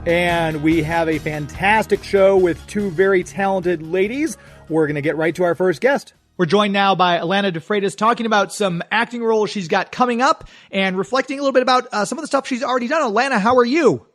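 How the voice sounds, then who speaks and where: A man's voice: high voice, fairly quick, fairly bright tone, faint music behind. A man hosting a radio interview in a casual, chatty style, asking long rambling, affirming questions. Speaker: radio show host, interviewer.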